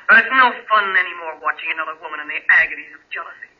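An actress playing a proud, disillusioned femme fatale, intense and halting, in 1940s radio melodrama style. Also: female voice, deep voice, natural voice. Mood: weary, ashamed. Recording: muffled 1940s radio recording.

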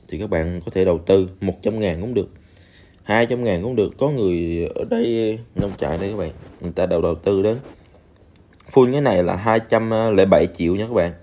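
Male, low warm voice, casual voice-over for a game video, lots of fillers. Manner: chatty, addresses viewers directly, many fillers. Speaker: game reviewer. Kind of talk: casual screen-recorded game walkthrough. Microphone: voice-over on screen recording.

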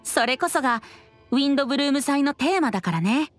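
A man voicing a playful, poetic bard boy in an anime game, with a light androgynous voice and a smooth, teasing manner. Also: very high voice, animated, fast, a bit bright, soft background music. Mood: lyrical. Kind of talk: Japanese anime game voice acting.

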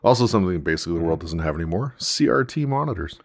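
A man co-hosting a podcast, adding casual commentary in relaxed group conversation. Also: sits low, rapid-fire, gravelly, no echo, studio quality. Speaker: podcast co-host.

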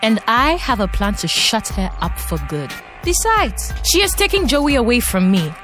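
Sly vengeful student girl, very animated pitch, audio drama w faint music under. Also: normal speed. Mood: spiteful, angry. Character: scheming, vengeful young female student. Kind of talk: audio drama phone dialogue.

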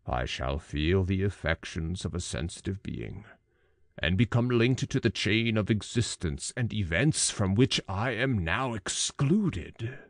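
A menacing, tormented male monster from a gothic storybook. He speaks very slowly and low, with grand, bitter, pleading oratory. The sound is close and dry. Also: lively intonation, no echo. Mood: bitter, demanding. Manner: slow, grandly emphatic, oratorical. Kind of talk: gothic audiobook character reading.

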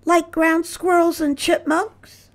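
Pedantic little storybook squirrel, played by a woman: high pitch, slow, nitpicking delivery. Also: little pitch movement, heavily acted. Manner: matter-of-fact, nitpicking. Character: small, pedantic ground squirrel in a children's storybook.

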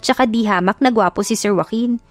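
A nosy, judgmental neighborhood gossip, put on by a woman narrator in an audio drama. The voice is scornful and pitched high, with music behind it. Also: brisk, no room sound, lightly acted. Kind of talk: audio drama storybook acting.

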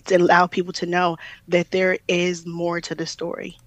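A woman's voice: fairly low pitch, monotone, normal speed, dry recording. A woman speaking earnestly as a panelist in a discussion.

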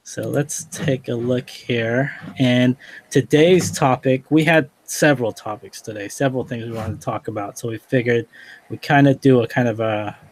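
A man's voice: medium pitch, normal speed. A man in his thirties talks through a stuffed-up nose, his voice nasal. He chats loosely and rambles with fillers, hosting a podcast from a small office over a headset on a video call. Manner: relaxed, rambling with many fillers. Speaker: podcast host. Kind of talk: casual podcast chat. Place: small office room indoors.

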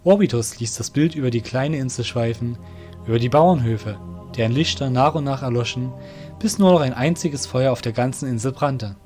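A man's voice: mid-range voice, moderate pace, a little mellow, faint music behind. A man narrating a scripted fantasy audio drama.

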